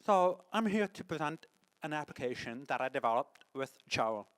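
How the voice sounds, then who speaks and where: A deaf man with a mixed French and English accent gives a conference talk, speaking haltingly with many restarts. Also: on the high side, expressive, a bit bright. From born in the United States, lives in France. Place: conference hall.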